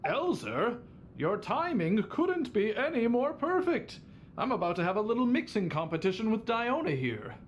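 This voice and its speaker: A man's voice: sits high, big pitch swings, moderate pace, highs cut off, slight background noise. A man voicing a polite, eager and slightly nervous young bartender in an anime game, courteous and hesitant.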